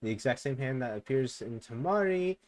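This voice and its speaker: A young man streaming, reading fan theories aloud in a low mumble and breaking into loud excited outbursts. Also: brisk.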